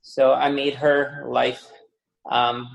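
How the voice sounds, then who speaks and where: A man in his sixties from the Philippines, a guest on a podcast, relaxed and joking in his answers. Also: mid-range voice, slow pace, gravelly, echoey room, rough recording. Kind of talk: casual interview.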